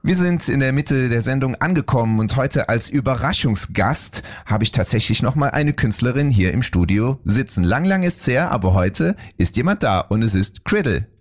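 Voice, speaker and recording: A man hosting a German radio show, interviewing a guest songwriter in the studio with friendly, lively questions. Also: mid-range voice, normal speed, hardly any pauses. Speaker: radio host.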